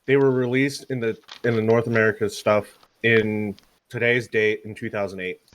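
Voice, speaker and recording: A man chatting casually in a group podcast, speaking in a hesitant, halting way. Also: on the low side, fairly even intonation. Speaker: podcast participant.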